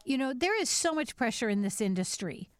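Middle-aged female radio host, smooth, dry sound, earnest and conversational. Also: on the high side, sing-song, brisk, no room sound. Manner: earnest, thinks aloud with restarts. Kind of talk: casual panel chat.

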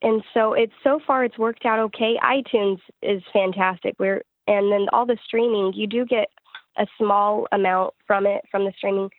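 A woman's voice: mid-range voice, fairly even intonation, normal speed, no room sound. A woman chatting casually as an interview guest, speaking over a telephone call. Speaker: singer-songwriter being interviewed. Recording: narrow tinny phone line.

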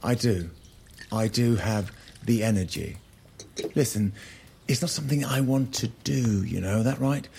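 An actor playing an earnest, frustrated husband, speaking in a strained, halting, naturalistic way in an audio drama. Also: male voice, mid-range voice, creaky, a little mellow, slight background noise. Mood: frustrated, pleading.

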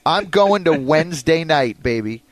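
High-voiced man hosting sports talk radio. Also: expressive, normal speed, no echo. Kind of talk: casual sports talk with banter and sponsor reads.

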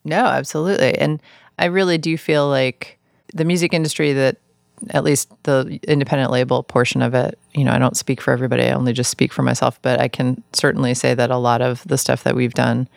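A man's voice: mid-range voice, level tone, brisk, gravelly, no echo, studio quality. A man speaking casually as an interview guest, giving his opinions in an informal, emphatic way. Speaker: interview guest from an independent record label. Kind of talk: casual conversational interview talk.

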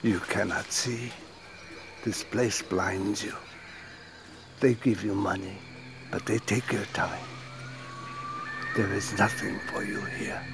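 An actor playing a stubborn old jungle-born miner in an audio drama, speaking in halting, solemn, plain sentences. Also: male voice, fairly low pitch, steady tone. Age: older. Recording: noisy outdoor ambience behind voice.

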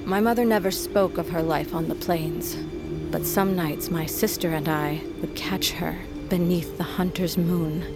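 An actress playing a solemn, grieving middle-aged mother in a fantasy audio drama, speaking in a measured, weighty storytelling way. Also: lowish voice, steady tone, over loud music. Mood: solemn, reverent. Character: grieving, solemn mother, proud wolf queen's daughter.

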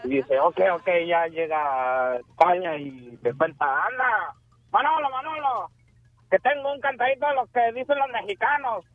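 A man's voice: sits high, lively intonation, moderate pace, no room sound. Male caller telling a joke by phone. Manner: casual, chuckling storyteller. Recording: tinny phone line.

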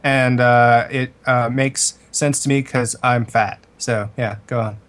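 A man's voice: medium pitch, fairly even intonation, slow pace, a bit bright. An American man co-hosting a podcast with casual, wisecracking chat. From Alabama, United States. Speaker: podcast co-host.

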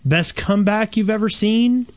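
A man's voice: high voice, normal speed, no echo. A man co-hosting a podcast, chatting casually and telling anecdotes. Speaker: podcast co-host.

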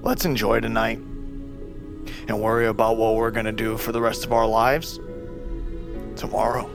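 An actor playing an easygoing, teasing young man in a naturalistic fiction podcast, speaking in a playful, drawn-out way. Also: fairly low pitch, level tone, creaky, over music. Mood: upbeat. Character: easygoing, teasing man playing bartender among trapped crew.

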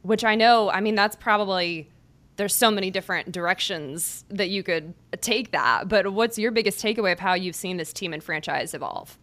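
A woman's voice: mid-range voice, normal speed, fairly bright tone. A young woman, a sports reporter hosting a podcast, asking questions in a friendly, chatty way and laughing easily. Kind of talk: conversational interview.

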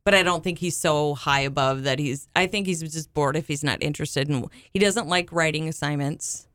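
A woman's voice: low-pitched, moderate pace, fairly bright tone, smooth, dry recording, studio quality. A woman co-hosting a casual podcast, laughing often and rambling earnestly. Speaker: podcast co-host. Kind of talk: casual chat.

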